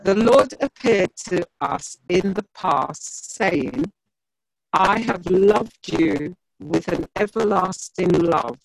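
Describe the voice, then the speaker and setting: A woman reading a Bible passage aloud on a video call, soft-spoken and gentle. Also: fairly low pitch, slow pace, gravelly, dry recording, rough recording. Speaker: Bible reader in an online study group.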